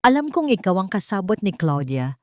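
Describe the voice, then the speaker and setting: A woman voicing a calm, stern wealthy husband in an audio drama, speaking in a measured, heavy way. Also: mid-range voice, no room sound, clean studio sound, natural voice. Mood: calm, firm.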